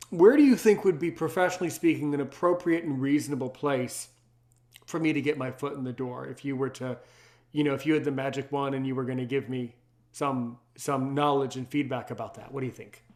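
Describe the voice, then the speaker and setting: A man's voice: fairly high pitch, a little mellow. A man hosting a casual interview, talking eagerly and often repeating words for emphasis. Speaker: interview host.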